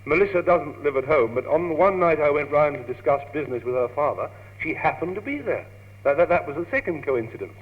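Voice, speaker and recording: An actor with a British accent playing a naive, lovestruck young gentleman in a radio comedy, stammering earnestly. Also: a little high, animated, quick pace. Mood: eager. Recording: tinny, hissy, humming old radio tape, reverberant.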